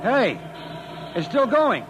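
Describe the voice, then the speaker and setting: An actor playing a plainspoken, eager scientist sidekick in an old-time radio drama, brisk and matter-of-fact. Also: male voice, very high-pitched, very animated, full character voice. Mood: curious, brisk. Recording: noisy vintage radio drama recording.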